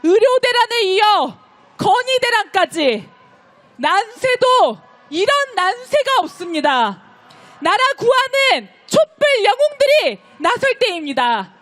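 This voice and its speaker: A woman leading a street protest, delivering impassioned, declamatory speech and shouted chants to the marchers. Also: very high-pitched, big pitch swings, slow pace. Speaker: rally host leading chants. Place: outdoor street march beside a park.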